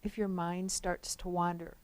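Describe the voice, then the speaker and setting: A woman leading a guided relaxation as a meditation instructor, speaking gently and without hurry, with long pauses between phrases. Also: on the low side, flat delivery, no echo.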